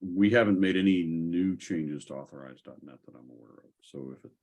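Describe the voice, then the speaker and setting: Man, extremely low voice, husky texture, halting, thinking aloud, casual tech Q&A host. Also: a little mellow. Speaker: host of a software community call.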